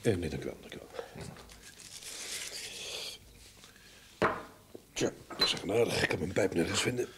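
Zelfverzekerde, droge speurder, levendige intonatie. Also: male voice, sits low, expressive, very fast. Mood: polite. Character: self-assured, dry, pipe-smoking male investigator. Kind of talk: old radio drama acting. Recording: noisy old radio play recording.

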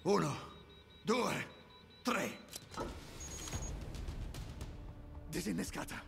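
An actor playing a seasoned, intense special forces captain in a video game, giving terse, clipped orders. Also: male voice, sits high, expressive, takes it slowly, creaky, fairly bright tone, faint music behind, full character voice. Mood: tense, urgent. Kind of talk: cinematic video game acting.

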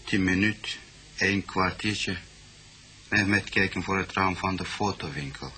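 An actor playing a simple, wary foreign man in a radio drama, answering in halting, broken phrases. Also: low voice, sharp tone. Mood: recounting.

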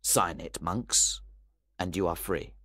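A man reading an audiobook who voices a calm, stern older gentleman, firm and measured. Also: sits low, dry recording, clean studio sound, heavily acted.

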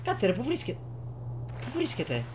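A man's voice: high voice, wildly swooping pitch, background noise. A young man with a Greek accent giving casual video game commentary, muttering and exclaiming to himself, recorded at home on a desk microphone. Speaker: gaming streamer. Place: small room at home. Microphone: desktop USB microphone. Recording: game music behind the voice.

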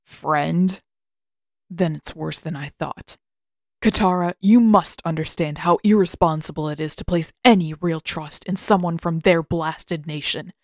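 A woman's voice: deep voice, measured pace, a little mellow, dry recording, clean studio sound. A woman voicing a stern old male master, cold, disapproving and condescending, in a storybook reading. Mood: scornful.

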